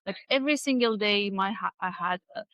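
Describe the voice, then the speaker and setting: A woman's voice: medium pitch, a little fast, no echo, muffled recording. A woman in her thirties, English not her first language, co-hosting a casual chat with non-native grammar and repeated words as she searches for phrasing. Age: 30s. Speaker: podcast co-host.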